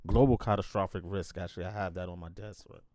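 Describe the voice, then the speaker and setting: Man, podcast host, hyped intros then halting fillers, warm tone, no room sound. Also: low-pitched, steady tone, no echo, studio quality. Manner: hesitant, many fillers and restarts in questions; emphatic hype intro. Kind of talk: casual interview chat.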